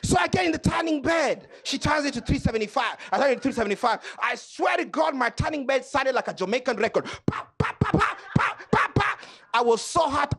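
A man from Kenya doing stand-up comedy on a club stage, loud and animated, joking with the crowd. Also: very high voice, husky.

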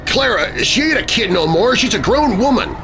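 A man voicing an older farmer, gruff and frightened, stammering and growling through his pain in a horror story. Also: sits high, sing-song, moderate pace, over music, pitched above the performer's own voice, heavily acted. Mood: alarmed, bewildered. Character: agonized older rural farmer father, gruff, frightened, angry.

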